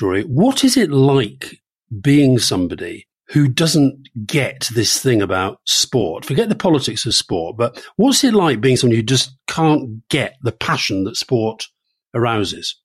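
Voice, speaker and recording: A man's voice: mid-range voice, breathy edge, no echo. A man co-hosting a casual political podcast, chatty and teasing, repeating words as he thinks. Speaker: podcast co-host.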